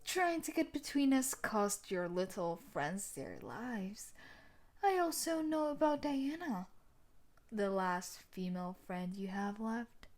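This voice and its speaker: Woman acting a slow, taunting yandere bully girl. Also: mid-range voice, lively intonation, a little mellow. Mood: mocking, cold. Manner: taunting, menacing, deliberate, slipping into breathy whispers. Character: sadistic, possessive young woman student bully, obsessively in love. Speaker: voice actor in audio roleplay. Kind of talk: intimate scripted audio roleplay.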